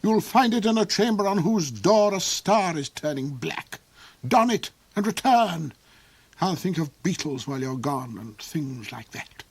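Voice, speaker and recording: A man's voice: fairly high pitch, big pitch swings, slightly rough, no room sound, voice pushed up, full character voice. A man voicing a sneering, cruel old duke. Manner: slow, silky, mocking, drops into hissing whispers. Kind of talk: audiobook character voice.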